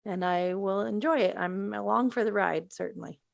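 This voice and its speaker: A woman's voice: medium pitch, normal speed, gravelly, no echo, clean studio sound. A woman chatting casually as a panelist in a podcast discussion.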